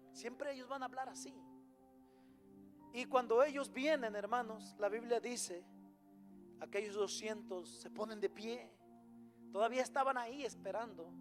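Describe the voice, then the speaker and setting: A man with a Latin American Spanish accent preaching a sermon emphatically, often putting rhetorical questions to his congregation. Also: high-pitched.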